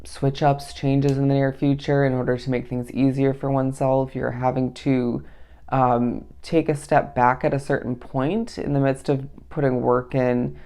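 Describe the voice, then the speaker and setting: A tarot reader giving a casual daily reading, with a raspy, tired voice in the small hours, musing aloud and often checking in with the listener. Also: medium pitch, mellow. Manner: meandering, musing, often asks the listener "okay?". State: tired, up at three in the morning.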